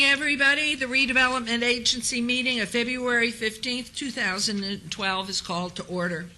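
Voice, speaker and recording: A hoarse woman runs a city council session from the chair, reading out formal notices in a crisp, bright tone. Her voice is roughened by a lingering cold. Between script items she turns briefly conversational with colleagues. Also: medium pitch, a little hiss or hum, rough recording. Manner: reads a set script, then chats lightly with colleagues. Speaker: meeting chair. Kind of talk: formal procedural meeting chairing.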